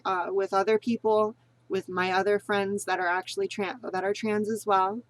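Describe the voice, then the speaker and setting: A woman giving a casual spoken tutorial on voice training, rambling and repeating herself, earnest and encouraging. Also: medium pitch. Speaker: vlogger teaching voice training.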